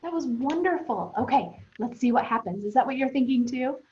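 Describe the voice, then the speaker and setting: A woman teacher thinking aloud for young pupils in a conversational, expressive read-aloud lesson. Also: high voice, fairly quick. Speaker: elementary teacher. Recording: roomy, echoing, dull lo-fi sound.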